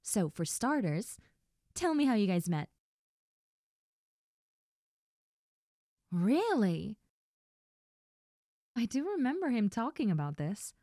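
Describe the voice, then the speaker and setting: A woman playing a best friend's friendly, nosy older sister in an intimate roleplay audio drama, talking softly and coyly straight to the listener. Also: on the high side, sing-song, a little slow, long pauses, no echo, clean studio sound. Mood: warm, reassuring. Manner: soft, gentle, coy, addressing the listener directly.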